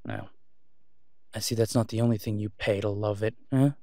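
Brash male vigilante, acted by a man, lowish voice, sarcastic, taunting banter, audio roleplay, dry studio-like sound. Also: fairly even intonation, moderate pace, mellow, no room sound, lightly acted. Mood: taunting, confident.

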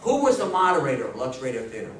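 A man in his sixties giving an animated, informal talk to an audience, exclaiming and asking them questions with enthusiasm. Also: mid-range voice, fast talker. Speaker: lecturer. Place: indoor meeting room before a seated audience. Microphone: distant camera mic. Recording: echoey room, distant mic, audience noise.

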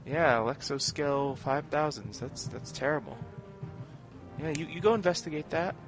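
A man's voice: on the high side, normal speed. A man giving casual, wryly sarcastic commentary as he plays through a video game. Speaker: video game let's player. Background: video game music and sound effects.

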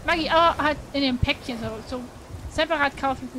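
A woman's voice: high-pitched, brisk, noisy background. A woman chatting casually as she co-plays a video game, giving short plain replies and playful protests. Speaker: co-player in gaming video. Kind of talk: casual chat while gaming.

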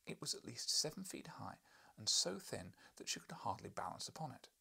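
A man's voice: lowish voice, sing-song, fairly quick, gravelly, sharp tone, slightly rough, whispered. A man in his thirties reading literary narration as an audiobook narrator. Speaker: audiobook reader. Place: furnished book-lined room, indoors.

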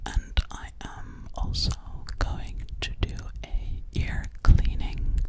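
A young woman whispering ASMR close to a foam mic in a bedroom, slowly repeating trigger words in a breathy whisper. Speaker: ASMR creator. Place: small bedroom, indoors. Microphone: large foam mic held close to mouth.

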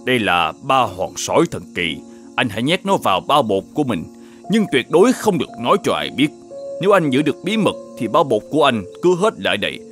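A man voicing a mysterious old Taoist sage in an animated fairy tale, courteous and formal in manner. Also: medium pitch, a little fast. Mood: grave warning. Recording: music behind the voice.

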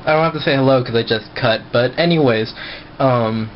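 A man's voice: mid-range voice, a little fast. A young man talking casually to a handheld camera as he vlogs, recorded at arm's length. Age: young adult. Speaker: vlogger. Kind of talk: casual vlog talk to camera. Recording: muffled, noisy handheld camera audio.

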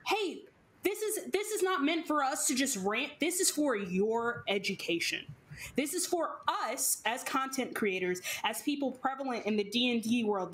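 High-pitched woman with a Texas accent. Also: fairly bright tone. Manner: emphatic, direct, addresses viewers. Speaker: panelist. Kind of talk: passionate roundtable discussion. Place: home room, indoors. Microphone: headset mic.

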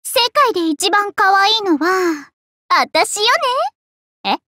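A woman's voice: very high voice, slow, bright, forceful tone, no echo, clean studio sound, heavily acted. A woman voicing a vain, bubbly teenage dragon-girl idol princess in an anime game, with theatrical, singsong, boastful delivery. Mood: smug, playful. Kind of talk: anime game character voice lines.

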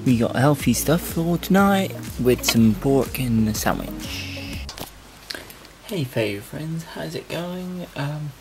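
A man's voice: fairly high pitch, takes it slowly, over music. A young man in his twenties chatting casually to a handheld camera in a travel vlog, sometimes tired. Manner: relaxed, chatty, talks straight to camera. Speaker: vlogger. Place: car and indoor rooms. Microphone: handheld camera at arm's length.

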